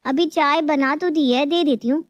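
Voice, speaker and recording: A woman playing a put-upon, scheming young daughter-in-law in an animated moral story, with a plaintive, exaggerated delivery. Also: sits high, moderate pace, no room sound, muffled recording, natural voice. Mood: annoyed, scheming.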